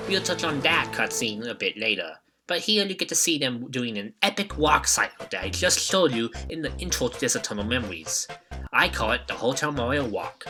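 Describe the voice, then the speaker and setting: A man's voice: mid-range voice, very animated, normal speed, pushed and bright, soft background music. A young man narrating a scripted, joking video retrospective with a wry, mock-dramatic manner. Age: young adult. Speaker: YouTube retrospective host.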